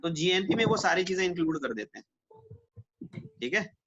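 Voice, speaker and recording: A man's voice: high-pitched, expressive, normal speed, fairly bright tone. A man teaching a class in a conversational question-and-answer style, often checking that students follow. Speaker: teacher at a defence exam coaching class. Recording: muffled classroom recording with background noise.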